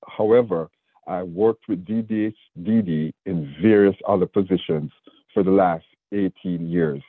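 Deep-voiced Jamaican man on radio. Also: no room sound. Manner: earnest, measured, with restarts. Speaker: state division director. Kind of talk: radio interview. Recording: dry, phone-like radio line.

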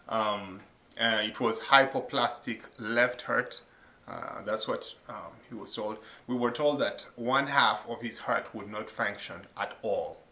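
Earnest man from Uganda, bright voice. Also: medium pitch, roomy sound, dull audio. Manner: earnest, warm testimony with frequent fillers. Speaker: associate pastor. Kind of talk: faith interview.

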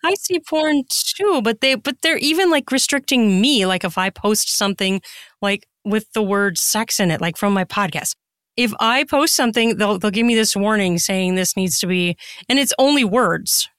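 A woman's voice: medium pitch, expressive, moderate pace, a bit bright, no echo, clean studio sound. A woman chatting casually and emphatically as a guest in a podcast interview.

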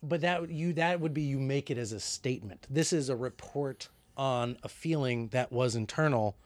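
A man co-hosting a satirical comedy chat, deadpan and mock-serious, often restarting his sentences. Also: mid-range voice, normal speed, no echo. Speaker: podcast co-host.

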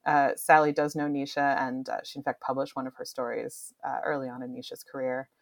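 A woman's voice: extremely low pitch, flat delivery, brisk, vocal fry, no echo. A woman giving casual book recommendations in a conversational, enthusiastic way, like a publisher's presenter. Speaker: publisher's book marketing director.

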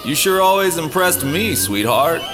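A man's voice: fairly high pitch, big pitch swings, normal speed, music in the background. A man playing a cocky, flirtatious space smuggler in an audio drama, smug and teasing.

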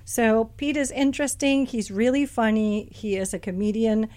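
A woman's voice: on the high side, fairly even intonation, moderate pace, darkish tone. Chatty woman radio host. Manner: chatty, enthusiastic storytelling. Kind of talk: casual radio chat.

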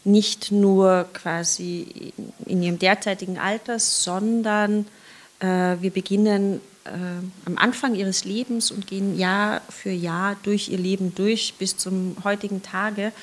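Woman, theatre director, hesitant, filler sounds, slow pace. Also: medium pitch, steady tone. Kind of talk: podcast interview guest.

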